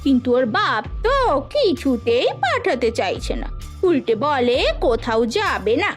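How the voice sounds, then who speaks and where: A woman's voice: high-pitched, big pitch swings, measured pace. A woman playing a scheming young village stepmother in an audio melodrama, whining and wheedling as she talks. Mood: aggrieved complaining. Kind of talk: melodramatic Bengali audio story acting. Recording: music under the voice.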